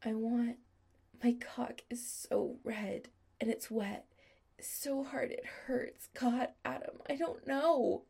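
Woman voicing a breathy, pleading young man. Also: a little high, slow, darkish tone, pitched above the performer's own voice, heavily acted. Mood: needy, pleading.

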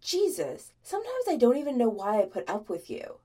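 Frantic young man played by a woman: sarcastic, snappish story character, raised pitch, very animated delivery. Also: brisk. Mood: exasperated. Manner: exasperated, emphatic, rambling.

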